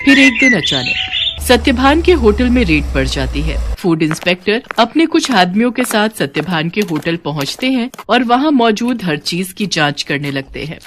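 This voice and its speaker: Expressive woman storyteller with swooping pitch. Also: normal speed, background noise. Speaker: story narrator. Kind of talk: scripted moral-story narration.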